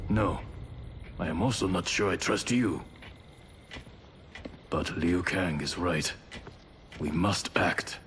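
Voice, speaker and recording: Low, stern warrior commander. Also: male voice, takes it slowly, pauses often, a little hiss or hum. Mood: distrustful, resolute. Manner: curt, stern. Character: stern, distrustful male lone warrior commander. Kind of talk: video game cutscene acting.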